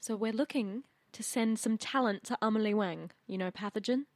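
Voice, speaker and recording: A woman playing a cool, determined female rocker in a tabletop game, speaking in a measured, businesslike way. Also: mid-range voice, darkish tone, dry recording, lightly acted. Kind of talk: improvised role-play in a tabletop game.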